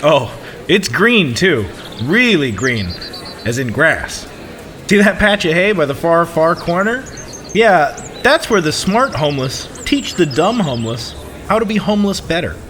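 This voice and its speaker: A man's voice: a little high, big pitch swings, measured pace, fairly bright tone, slight background noise. A man playing an overeager, evasive huckster bluffing his way through a comedy sketch. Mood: glib. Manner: hesitant, evasive salesman patter. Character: shifty, overeager male charity huckster bluffing an inspector. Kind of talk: sketch comedy audio drama.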